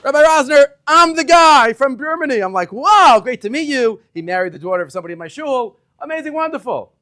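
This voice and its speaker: A middle-aged rabbi lectures students in a yeshiva classroom, his fairly high voice leaping up and down as he acts out dialogue. He mixes Hebrew terms into his English and keeps the talk moving with animated energy. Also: brisk. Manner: animated, acts out dialogue with big pitch swings.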